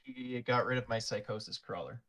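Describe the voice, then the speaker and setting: A man's voice: mid-range voice, a little fast, a bit bright. A man chatting casually as a player in an online card game, explaining moves to the others.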